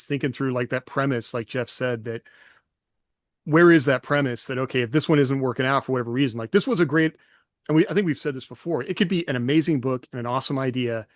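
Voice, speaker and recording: A man co-hosts a writing podcast, thinking out loud as he talks. His voice sits at an ordinary middle pitch. The close microphone leaves almost no room sound. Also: no echo. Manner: thoughtful, rambling with fillers. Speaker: podcast co-host. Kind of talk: casual chat.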